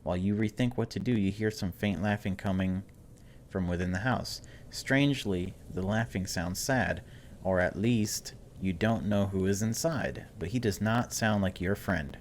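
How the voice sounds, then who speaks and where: A man's voice: lowish voice, moderate pace, slight background noise. A man giving a casual, chatty let's-play, reading game text aloud and adding wry asides. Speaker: video game streamer.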